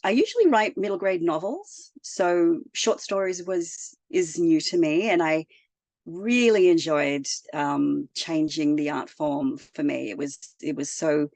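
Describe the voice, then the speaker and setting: A woman's voice: low voice, a little slow, a little mellow, no echo. A woman from Australia, a children's author presenting her book in an upbeat, articulate way. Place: Toronto.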